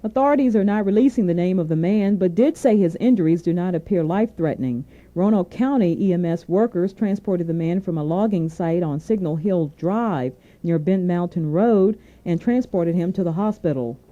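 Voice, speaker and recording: A woman anchoring a scripted radio newscast, reading in a formal, measured way. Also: on the low side, warm tone. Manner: formal, measured newsreading delivery. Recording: narrow tinny AM radio aircheck.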